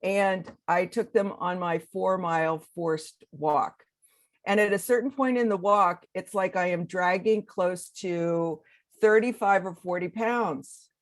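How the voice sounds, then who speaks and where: Woman, animated storyteller, dry close sound. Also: fairly low pitch, slow pace, no room sound. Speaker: co-host. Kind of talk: casual storytelling on a call. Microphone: video call.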